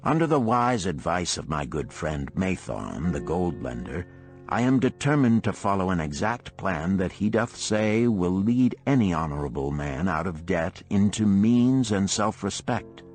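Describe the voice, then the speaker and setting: A man voicing a resolute ancient Babylonian freed slave in an audiobook parable, solemn, archaic and deliberate. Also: low voice, big pitch swings, darkish tone, soft background music, heavily acted. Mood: solemn, determined. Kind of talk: parable read aloud in an audiobook.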